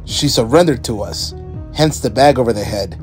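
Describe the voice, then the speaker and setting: A man playing a brisk resistance guard in an audio drama. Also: medium pitch, soft background music, natural voice. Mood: brisk, alert. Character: brisk male resistance guard reporting a prisoner.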